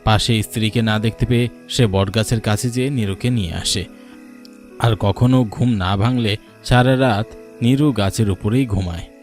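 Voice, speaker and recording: A man's voice: on the low side, moderate pace, a little mellow. A man narrating a scripted cartoon folk tale as a dramatic storyteller. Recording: music behind the voice.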